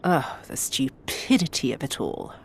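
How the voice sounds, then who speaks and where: A woman's voice: rumbling low, very animated, unhurried, slight background noise, heavily acted. A woman playing a cruel, contemptuous military commander in a video game, with a haughty, sneering, theatrical manner. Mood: disdainful, sinister. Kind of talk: video game villain acting.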